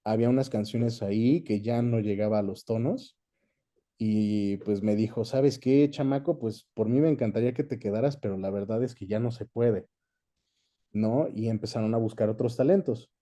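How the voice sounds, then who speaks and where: A man's voice: lowish voice, moderate pace, dark tone. A man with a Mexican accent chatting casually as an interview guest, recounting his experience. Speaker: guest, dubbing actor and director.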